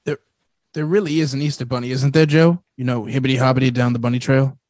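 A man's voice: mid-range voice, little pitch movement, fast, darkish tone, close to an everyday voice. Man as a gullible, childlike police sidekick. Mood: plaintive. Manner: simple, plaintive, rambling. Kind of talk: comic radio police drama parody.

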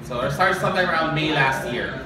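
A young man performing spoken word poetry live, earnest and deliberate, with dramatic pauses. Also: fairly high pitch, crowd noise. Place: small live open-mic event. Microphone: handheld and stand microphone, heard from a distant camera. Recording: distant, very echoey, noisy live recording.

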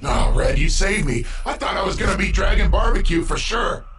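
A man's voice: high-pitched, lively intonation, brisk, heavy background noise. A man voicing a nervous, wisecracking lizard creature in a cartoon, anxious and sarcastic. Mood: relieved, sarcastic. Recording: loud music behind the voice.

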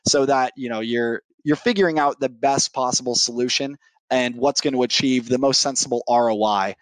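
Guy in his 30s answering business questions on a headset, dry sound, medium pitch. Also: normal speed, dry recording, low-quality recording. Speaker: technical sales executive, podcast guest. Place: home living room, indoors, on a video call. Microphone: headset mic.

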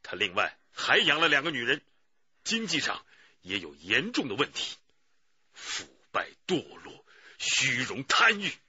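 An actor playing a grave, righteous middle-aged police chief in a radio drama, with a husky voice. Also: male voice, medium pitch, huge pitch swings, measured pace, bright, forceful tone, dry recording, muffled recording, exaggerated voice. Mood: grave, pained. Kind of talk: Chinese radio drama acting.

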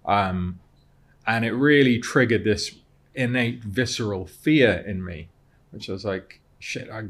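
A British man in his thirties speaks in a lowish voice on a studio mic. He tells personal stories conversationally, reflecting as he goes and often repeating a word before he carries on. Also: unhurried, fairly bright tone. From Cardiff, Wales. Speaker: podcast guest, content creator and model. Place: podcast studio. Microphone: close studio mic on desk stand.

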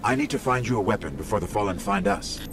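Man voicing an eager, lively little robot companion. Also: fairly low pitch, fast talker, heavy background noise, close to an everyday voice. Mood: hushed, wary. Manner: earnest, urgent, expressive. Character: small floating robot companion, eager, anxious and protective. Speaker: guide character in a science-fiction shooter game. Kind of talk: cinematic game voice acting. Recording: loud cinematic music behind the voice. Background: loud music.

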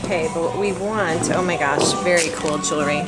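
A woman's voice: low-pitched, brisk, over loud music, rough recording. A woman from Arizona chatting upbeat and breezy into a handheld camera as she walks city streets and rides in a taxi. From Arizona, USA. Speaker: fashion vlogger. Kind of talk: casual handheld vlog chat. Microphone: handheld camera mic. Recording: loud traffic noise on camera mic.

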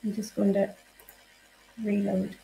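A woman giving a live software demonstration to teachers. She explains each step in a friendly way and uses frequent fillers. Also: medium pitch, completely flat delivery, takes it slowly, gravelly, slight background noise, low-quality recording. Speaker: software trainer.

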